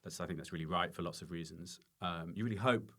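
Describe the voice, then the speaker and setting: A man talking casually as an interview guest, halting and self-correcting, repeating words for emphasis. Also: sits low, fairly bright tone. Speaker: podcast guest, stand-up comedian and former teacher.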